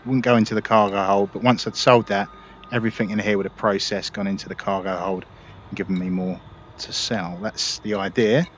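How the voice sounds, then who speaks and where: A man casually explaining a game as he plays, relaxed and easygoing. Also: on the low side, a little fast, dull audio. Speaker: gaming video creator. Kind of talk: casual gameplay commentary. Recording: loud background noise.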